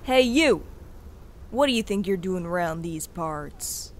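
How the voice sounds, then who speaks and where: A woman's voice: on the low side, lively intonation, takes it slowly. A woman playing a brash, bossy cowboy gang leader with a folksy, challenging swagger in a western audio drama. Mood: suspicious, challenging.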